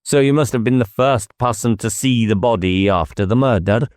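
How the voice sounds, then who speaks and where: A man's voice: medium pitch, normal speed, a little mellow, no room sound, studio quality, lightly acted. A man voicing a blunt, impatient police inspector in an audiobook, questioning in a curt, sarcastic way. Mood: brisk interrogation.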